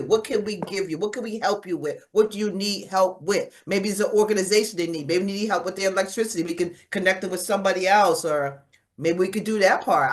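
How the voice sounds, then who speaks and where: Emphatic woman in a group discussion. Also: mid-range voice. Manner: emphatic, repeats phrases for emphasis. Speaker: church community member.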